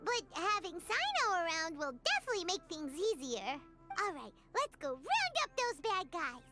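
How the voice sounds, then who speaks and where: A woman voicing a small, childish, bossy fairy companion with a squeaky voice in an anime-style game, with music playing. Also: wildly swooping pitch, normal speed, heavily acted. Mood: determined.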